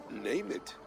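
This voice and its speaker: An actor playing a suave, cunning assassin with an air of ancient superiority in a game cutscene, measured, sly and theatrical. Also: male voice, fairly low pitch, exaggerated voice. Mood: amused. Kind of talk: dramatic game cutscene acting. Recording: music behind.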